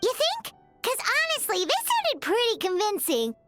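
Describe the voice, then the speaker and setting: A woman voicing a small, childlike fairy companion in a video game, perky and curious with a squeaky voice. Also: wildly swooping pitch, unhurried, music in the background, full character voice. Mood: doubtful.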